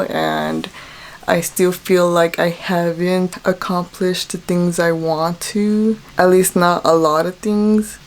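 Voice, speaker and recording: Woman, late twenties, slightly low pitch, casual vlog, candid, reflective. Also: a little mellow. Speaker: vlogger. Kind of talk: casual personal vlog talk to camera.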